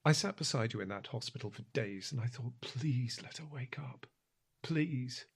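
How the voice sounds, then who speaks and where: An actor playing an earnest, guilt-ridden husband in an audio drama, speaking with hushed, halting emotion in a husky voice. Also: male voice, mid-range voice. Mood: anguished, pleading. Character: earnest, guilt-ridden husband pleading with his injured wife.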